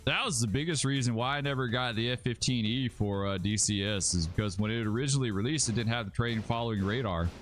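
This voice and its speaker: A man's voice: medium pitch, fast talker, few pauses, faint music behind. A man from Alabama, casually commentating his live stream, rambling and chatting with viewers. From Alabama, USA. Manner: laid-back, rambling, chats with viewers, says dude and bro. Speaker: livestreaming gamer host.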